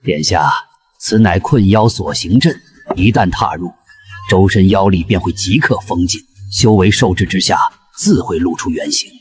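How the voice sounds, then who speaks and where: A man's voice: deep voice, normal speed, husky. A man playing an old sorcerer who advises a prince, deferential yet ruthless and scheming, in a costume fantasy audio drama. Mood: deferential, grave.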